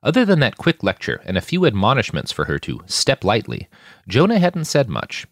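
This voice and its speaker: A man's voice: mid-range voice, wildly swooping pitch, normal speed, dry recording. A man reading scripted fiction as a podcast narrator, in a dramatic storytelling style, recorded up close.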